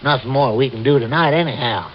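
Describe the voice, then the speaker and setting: A man's voice: medium pitch, fast talker, close to an everyday voice. An actor playing a folksy, cautious backwoods man who is deferential and rambles hesitantly, in old-time radio drama style. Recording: dull, hissy old radio recording.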